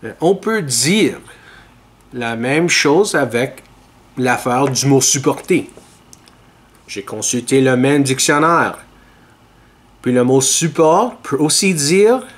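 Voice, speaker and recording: A man, hosting a podcast and giving measured, opinionated commentary to a webcam in a small room. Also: mid-range voice. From Ontario, Canada. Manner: measured, earnest and opinionated, talks straight to camera. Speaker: podcast host. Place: small indoor room with dark curtains.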